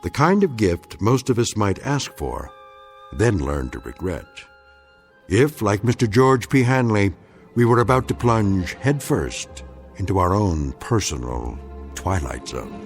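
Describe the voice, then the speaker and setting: Ominous radio drama narrator, low voice, grave, sweeping intonation, faint music. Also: male voice, sing-song. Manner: grave, measured. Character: solemn, ominous male narrator of eerie tales.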